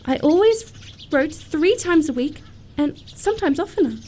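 A woman's voice: high-pitched, lively intonation, normal speed, warm tone. A woman playing a romantic, self-assured young upper-class lady in a period comedy radio play, precise and sweetly matter-of-fact.

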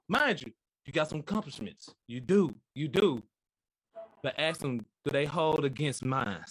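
A man's voice: fairly high pitch, fairly bright tone, low-quality recording. A man delivering a mock wrestling trash-talk promo, boastful and intense, taunting his rival over and over. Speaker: podcast guest competitor.

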